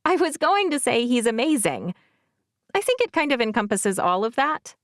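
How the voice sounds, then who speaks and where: A woman's voice: fairly high pitch, very animated, moderate pace, dry recording, studio quality. A woman voicing a shy, happy, earnest young daughter in an audiobook. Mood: happy, flustered. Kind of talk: audiobook character dialogue.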